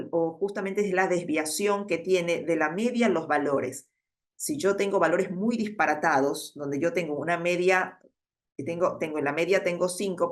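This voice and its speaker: Patient woman teaching a class. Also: on the low side. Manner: explains patiently, asks "¿sí?" often, many "eh" fillers. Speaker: instructor. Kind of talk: online class lecture.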